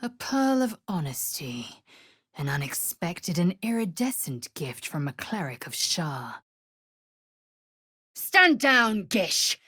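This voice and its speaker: A woman's voice: fairly low pitch, big pitch swings, takes it slowly, pushed and bright, breathy edge, dry recording, studio quality, full character voice. A woman playing a fierce, imperious young Githyanki alien warrior in a video game, with a clipped, haughty, commanding way of speaking and formal phrasing. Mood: haughty, disdainful. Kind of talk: video game character voice lines.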